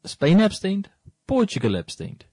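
A man hosting a relaxed studio talk show, his voice sitting in a deep bass register. He explains at length and climbs higher when he presses a point. The sound is dry and close, with almost no room in it. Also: a little slow, no room sound. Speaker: radio show host. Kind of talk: casual studio discussion. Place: broadcast studio.

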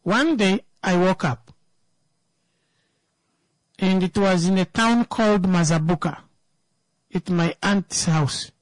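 A woman's voice: fairly low pitch, slow pace, pauses often, dry recording, rough recording. A woman, giving an emphatic opinion talk that turns into a personal story, repeating words for stress.

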